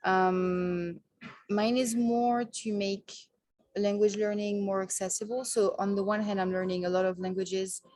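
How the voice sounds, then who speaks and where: A woman's voice: medium pitch, steady tone, unhurried, darkish tone, no room sound. A young woman who teaches French, chatting in a bubbly, eager way with many fillers. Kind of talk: casual conversation.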